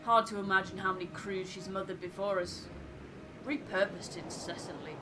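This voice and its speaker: A woman voicing a thoughtful, wary first mate who narrates a ship's log in a measured, literary way for a game. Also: medium pitch, steady tone, background noise, close to an everyday voice. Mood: reflective, wary.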